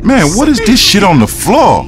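An actor playing an uneasy, frightened prison inmate in a video game, speaking nervously and haltingly. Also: male voice, sits high, huge pitch swings, music in the background. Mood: uneasy, bewildered.

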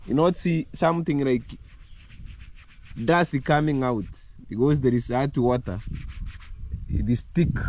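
A middle-aged man from Kenya with a Kenyan accent, hoarse from a cold, chatting to his camera while he works outdoors in his village. He repeats words and jumps up in pitch when he stresses a point. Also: noisy background. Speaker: vlogger. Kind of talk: casual vlog to camera. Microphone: camera held at arm's length.

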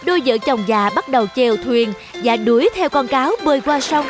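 A woman tells a scripted children's story in a fairly high voice. Clear background music plays under her narration. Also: expressive, a little fast.

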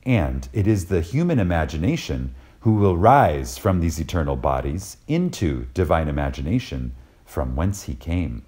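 A man reading a scripted lecture dramatically and reverently, with deliberate pauses and emphasis. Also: low-pitched, sing-song, a little mellow. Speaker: reader of a recorded lecture.

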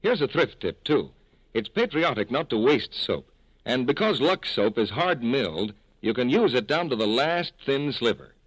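Animated man, 1940s radio announcer. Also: mid-range voice, normal speed, breathy edge, no echo, poor audio. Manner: polished, persuasive.

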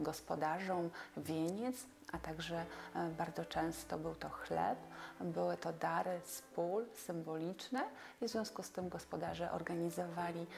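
A woman's voice: lowish voice, vocal fry. A woman in her forties speaking as an expert in a documentary interview, explaining things in an indoor room. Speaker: interviewed expert on folk customs.

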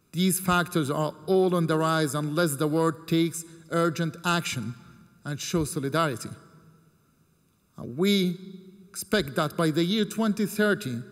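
A man in his thirties from Jordan giving a formal prepared speech from a podium, measured and earnest, with deliberate pauses between phrases. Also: fairly high pitch. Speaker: keynote speaker. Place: large ornate hall with seated audience. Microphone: podium microphone. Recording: long hall reverb.

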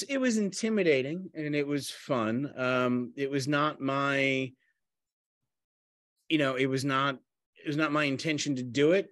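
Middle aged guy on a video call, self-deprecating writer type, stops and starts, mid pitch, dry sound. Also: a bit bright, dry recording. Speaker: playwright and actor. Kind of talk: casual talkback reflection. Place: home room on a video call. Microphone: video call microphone.

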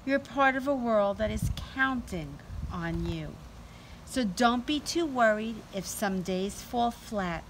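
A middle-aged woman doing an expressive, deliberate read-aloud storytime for young children. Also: fairly high pitch, poor audio. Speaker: teacher reading to children. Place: outdoors in a sunny backyard. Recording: outdoor ambient noise.

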